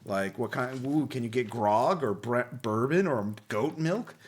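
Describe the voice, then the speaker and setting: A man's voice: mid-range voice, expressive, unhurried, slightly rough. A man hosting a casual chat about gaming magazines, with wry, jokey asides and some swearing. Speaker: podcast host.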